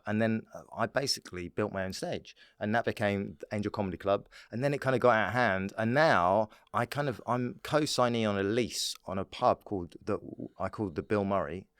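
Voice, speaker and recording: A man's voice: on the low side, smooth, no room sound, clean studio sound. A man, chatting casually as a comedian guest, rambling, repeating himself and giggly.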